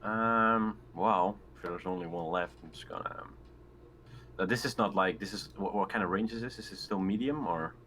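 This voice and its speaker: A man chats through his turn in a tabletop game with a very low voice that has a bright, forward edge. He is casual and tentative, working out choices aloud over slight background noise. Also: moderate pace.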